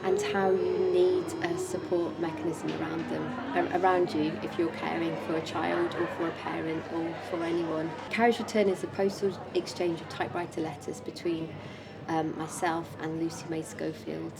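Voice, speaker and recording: Low-pitched woman artist, noisy recording. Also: flat delivery, normal speed. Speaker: artist presenting own work. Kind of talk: informal exhibition talk about own artwork. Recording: clear background noise and room ambience.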